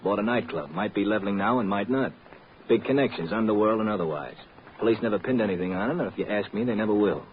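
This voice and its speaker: A man's voice: fairly low pitch, fast, natural voice. An actor playing a jovial, folksy, slangy newsman informant in an old radio drama, breezy and teasing. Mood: matter-of-fact. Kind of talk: 1940s-50s radio drama acting. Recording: muffled, noisy old radio recording.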